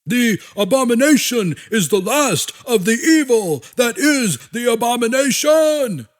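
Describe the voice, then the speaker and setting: A man voicing fanatical human generals in an audiobook, shouting orders in a bombastic, drawn-out way. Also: very high voice, expressive, voice pushed up. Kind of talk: audiobook character acting.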